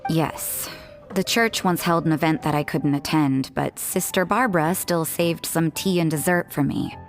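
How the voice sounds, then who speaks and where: An actress playing a cool, blunt young nun in a video game dub, dry and offhand. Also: female voice, sits low, moderate pace, faint music behind.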